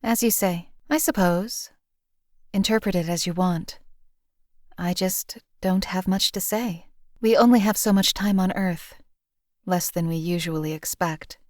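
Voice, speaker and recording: An actress voicing a detached female assassin companion in a video game, with deadpan, measured, emotionally flat delivery. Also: mid-range voice, dry recording, studio quality, lightly acted.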